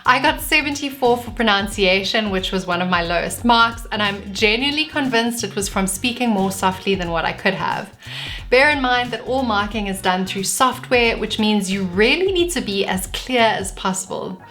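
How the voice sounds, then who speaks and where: A woman with a South African accent, speaking in a clear, measured way as she gives exam tips in a vlog. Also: mid-range voice, animated, sharp tone, soft background music. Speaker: vlogger giving test tips. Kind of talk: scripted tips video.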